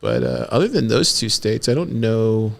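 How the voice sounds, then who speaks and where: A man's voice: fairly low pitch, wildly swooping pitch, gravelly. A man from Texas in a casual talk, asking questions and telling stories about growing up. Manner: chatty, questioning, drawn-out storytelling. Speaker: podcast co-host. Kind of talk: casual conversational discussion.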